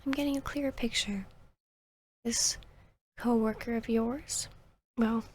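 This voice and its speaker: A woman playing a calm, soothing vampire therapist in an ASMR roleplay, speaking softly and gently in a breathy, measured, near-whispering way. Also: a little high, a little hiss or hum, natural voice. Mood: probing, gentle. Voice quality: breathy, soft. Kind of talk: ASMR roleplay, soft-spoken to the listener.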